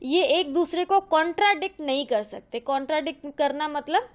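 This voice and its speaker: A woman's voice: high-pitched, normal speed. A woman teaching in an explanatory, conversational way, mixing in English words and rephrasing her points. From India. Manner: conversational Hindi mixed with many English words; didactic, repeats and rephrases points. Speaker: teacher, lecturer. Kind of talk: explanatory lecture with everyday examples.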